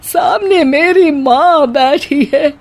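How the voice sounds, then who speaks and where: A woman's voice: high voice, takes it slowly, pitched above the performer's own voice. A woman playing a doting, tearful elderly father-in-law devoted to his mother, in an overwrought cartoon style. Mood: tearful joy.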